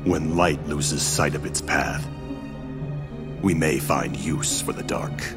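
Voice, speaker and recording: Very deep stoic henchman. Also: gravelly, exaggerated voice. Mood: grave. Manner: grave, measured, terse. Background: ominous game music.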